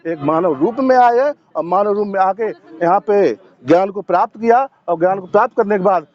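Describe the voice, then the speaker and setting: A man's voice: high-pitched, fairly quick, dull audio. A man giving impassioned, assertive interview answers as a protester, stressing points by repeating phrases.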